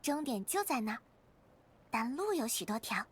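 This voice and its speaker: Very high-pitched, earnest young adventurer girl. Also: big pitch swings, moderate pace, husky. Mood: earnest, resolute. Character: bright, earnest, inquisitive young woman adventurer. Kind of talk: scripted anime game voice acting.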